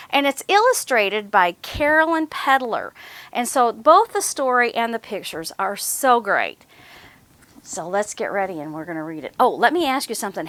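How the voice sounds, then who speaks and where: A woman's voice: fairly high pitch, fairly bright tone, no echo. A woman hosting a children's story time, reading aloud and chatting encouragingly with simple questions for young listeners. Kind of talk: children's read-aloud and chat.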